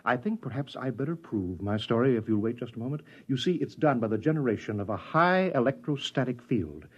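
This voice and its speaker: A man's voice: medium pitch, lively intonation, a little fast, slightly rough. An actor playing a genial, sly alien posing as a courtly, talkative old man in a 1950s radio drama. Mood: smooth, confiding. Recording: dull old-time radio recording.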